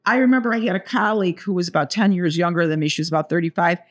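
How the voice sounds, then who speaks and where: A woman's voice: on the low side, steady tone, rapid-fire. A woman in her seventies co-hosting a podcast, chatting casually and telling stories with playful asides. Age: 70s. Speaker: podcast co-host. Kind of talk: casual chat.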